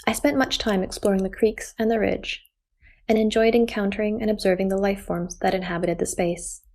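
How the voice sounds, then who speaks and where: A young Canadian-raised woman reading a prepared artist talk about her work in a measured, reflective way. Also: mid-range voice, dark tone. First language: Japanese.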